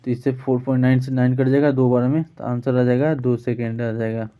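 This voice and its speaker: A man's voice: mid-range voice, flat delivery, a little fast, clear, resonant tone. A man with an Indian accent giving an informal exam-prep physics lesson as a teacher, working through questions. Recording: dull, lo-fi sound.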